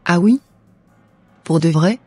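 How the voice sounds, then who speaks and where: Soft, husky devout daughter played by a woman. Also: fairly low pitch, takes it slowly, dry recording, faint music behind. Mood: earnest, devout. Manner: earnest, preachy. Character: devout, wise, gentle schoolgirl daughter.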